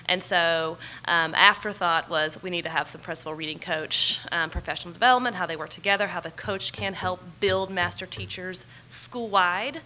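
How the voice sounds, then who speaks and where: A woman's voice: fairly low pitch, normal speed, hardly any pauses, pushed and bright. A woman in her forties with an American accent giving an emphatic, explanatory, unscripted answer as a panelist, speaking into a table microphone. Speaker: panelist on an education policy panel. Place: large conference ballroom stage. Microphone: gooseneck table microphone. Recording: live conference PA with faint room noise.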